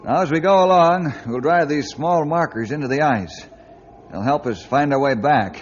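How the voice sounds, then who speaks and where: An American actor playing a calm, confident adventure leader in a 1950s radio serial, folksy, brisk and reassuring. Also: male voice, fairly high pitch, lightly acted. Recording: muffled old radio recording, noisy background.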